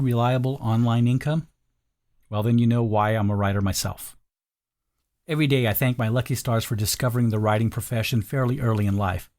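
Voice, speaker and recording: A man's voice: mid-range voice, a little mellow. A man narrating a scripted sales video in a conversational, persuasive manner. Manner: conversational, persuasive, rhetorical questions.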